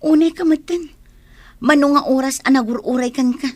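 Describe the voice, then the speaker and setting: A woman's voice: high voice, normal speed, no room sound, lightly acted. A woman with an Ilocano accent playing a worried, reproachful farmer's wife and mother in a radio drama.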